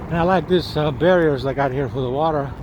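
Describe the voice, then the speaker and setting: A man's voice: a little high, a little fast. A man filming himself walking outdoors along a riverside, pointing things out with eager, repetitive commentary. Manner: enthusiastic, repeats words, restarts phrases. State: slightly hoarse, coughing. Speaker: vlogger filming a city walk. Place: outdoors on a city riverside walkway. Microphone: handheld camera or phone while walking. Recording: outdoor city noise behind voice. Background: street noise, sirens, boats.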